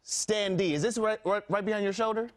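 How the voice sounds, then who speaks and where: A man's voice: sits high, steady tone, a little fast. A man hosting a broadcast show, presenting and bantering in an upbeat conversational style. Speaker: TV show host. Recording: loud music and crowd behind.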